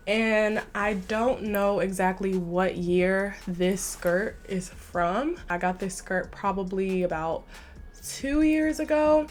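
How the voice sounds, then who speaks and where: A young woman with a stuffy nose from a slight cold, chatting enthusiastically to camera in a casual fashion vlog. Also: mid-range voice. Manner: chatty and enthusiastic, says "like" often. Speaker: fashion vlogger.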